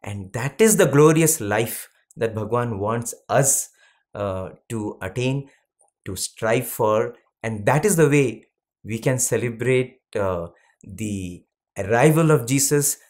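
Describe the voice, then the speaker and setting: A man shares a devotional reflection in an unhurried, thoughtful way. He leans on key words with slow emphasis and lets some phrases drop almost to a whisper. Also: medium pitch, expressive, a little mellow. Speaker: satsang speaker. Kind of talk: devotional storytelling conversation.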